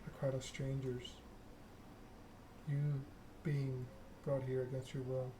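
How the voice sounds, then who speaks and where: A man streaming a text game, reading narration aloud in a soft-spoken ASMR style with casual chat. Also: medium pitch, flat delivery, slow. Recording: clear background noise.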